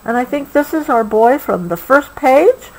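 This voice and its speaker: A woman reads a picture book aloud to a child, gently adding explanations as she goes. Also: high-pitched, expressive, normal speed, poor audio. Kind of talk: read-aloud storybook with explanatory asides.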